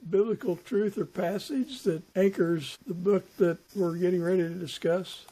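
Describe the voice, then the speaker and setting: High-pitched, husky male podcast host. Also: normal speed, dark tone, poor audio. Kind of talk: interview conversation.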